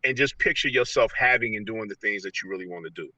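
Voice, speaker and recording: Fast-talking, low-pitched American man. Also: sharp tone, no room sound. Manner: slangy, repeats "you know what I'm saying". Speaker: interview guest, motivational speaker. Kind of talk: casual motivational interview talk. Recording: slightly distant, dull lo-fi sound.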